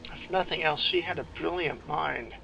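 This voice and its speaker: A man's voice: on the high side, moderate pace, vocal fry, sharp tone, highs cut off, slight background noise. A man giving casual, off-the-cuff commentary as he plays a video game, musing half to himself. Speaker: gamer giving playthrough commentary. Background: video game sound and music.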